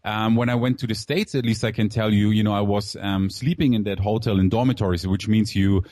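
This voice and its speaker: A man in his thirties who grew up in Germany, talking through his life story, candid and loose, full of "you know" and "man." His voice sits low, and the sound is close and dry with no room around it. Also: fairly even intonation, a little fast, a little mellow, dry recording. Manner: candid, filler-heavy, calls host "man". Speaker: guest entrepreneur. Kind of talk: casual interview storytelling.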